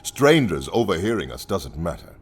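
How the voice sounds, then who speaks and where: An actor playing an imposing, mocking male leader of an anarchist council in a radio drama, husky, commanding and theatrically courteous. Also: sits low, sing-song, moderate pace, full character voice. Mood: ominous accusation.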